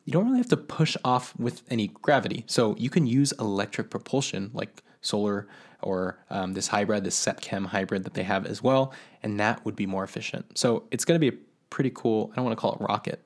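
A man's voice: on the low side, brisk. A man co-hosting a casual podcast, breaking down space news in plain everyday terms. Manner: explains space news in plain terms, then friendly sign-off. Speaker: podcast co-host. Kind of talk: casual science podcast chat.

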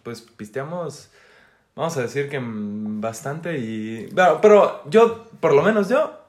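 A man's voice: mid-range voice, lively intonation, unhurried. Slangy young Mexican man, northern accent. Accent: northern Mexican Spanish. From Mexico, Sinaloa. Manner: slangy, laughing, trailing restarts. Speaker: podcast co-host. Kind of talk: casual chat among friends. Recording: echoey room.

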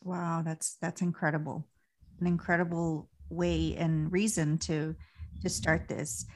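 A woman's voice: low voice, little pitch movement, unhurried. A woman hosting an interview, friendly and affirming, with frequent short encouragements. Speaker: podcast host.

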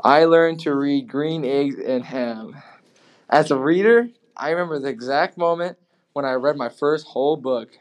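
A man's voice: on the high side, moderate pace, a little hiss or hum. A teenage boy, a student, reading his reflection plainly and unhurriedly.

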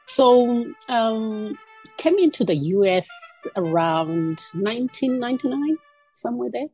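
A woman's voice: a little high, expressive, a little slow, mellow, slightly rough, faint music behind, poor audio. A woman in her fifties from Zimbabwe, a guest talking through her background with frequent ums and restarts. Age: 50s. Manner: hesitant, many ums and restarts. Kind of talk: casual interview conversation.

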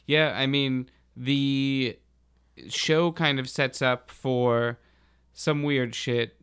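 Smooth-voiced man with an even pitch. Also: drawn-out words. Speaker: podcast host. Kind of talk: casual chat about a TV show.

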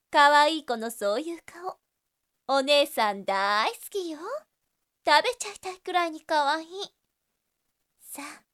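Succubus temptress, high voice, unhurried coaxing, flirtatious, doting, audio drama. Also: female voice, fairly bright tone, exaggerated voice. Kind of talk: Japanese situation voice drama acting.

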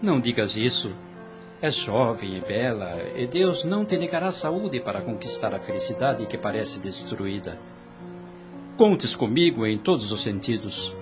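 An actor playing a devoted, courtly gentleman in a radio soap opera, with formal, theatrical delivery. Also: fairly low pitch, sing-song, unhurried. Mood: earnest, consoling. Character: devoted gentleman suitor, earnest, protective and courtly. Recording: radio drama with prominent music. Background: clear background music.

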